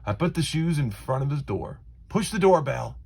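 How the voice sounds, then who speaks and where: A man reading a children's story aloud in an expressive, dramatic way with deliberate phrasing. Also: a little high, creaky, breathy edge. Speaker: storybook reader.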